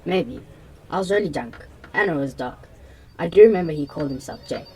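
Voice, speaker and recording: Young woman acting a shaken witness, very low voice, mellow and dark, hesitant, radio play. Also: lively intonation, fairly quick, breathy edge, exaggerated voice. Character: shaken young assault survivor giving a police statement.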